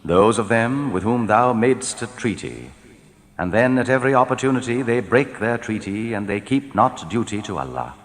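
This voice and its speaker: A man reading translated scripture in a solemn, measured, declamatory style, letting his phrases fall at the end. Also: on the low side, lively intonation. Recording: long hall reverb.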